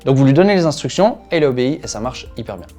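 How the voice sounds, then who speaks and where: A man speaks at a quick clip in a mid-range voice, with soft music underneath. He sounds like a channel co-host explaining gear casually and with enthusiasm. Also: mid-range voice, expressive. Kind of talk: casual product review. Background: background music.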